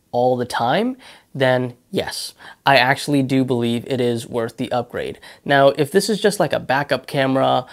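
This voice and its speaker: A man giving a casual spoken review of a camera to his viewers, talking conversationally into a lavalier mic and offering direct advice. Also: medium pitch. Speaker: tech product reviewer.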